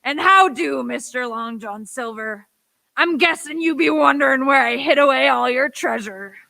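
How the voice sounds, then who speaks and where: A woman playing a crazed, gleeful castaway pirate in a radio play, with shouty, odd sailor talk. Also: high voice, normal speed, gravelly, heavily acted. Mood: gleeful, taunting.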